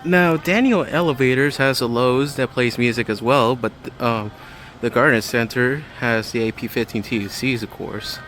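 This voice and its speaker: A man's voice: medium pitch, moderate pace, fairly bright tone. Man vlogging casually over store music. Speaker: hobbyist vlogger. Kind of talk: casual walk-through commentary. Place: inside a large home improvement store. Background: background music in a store.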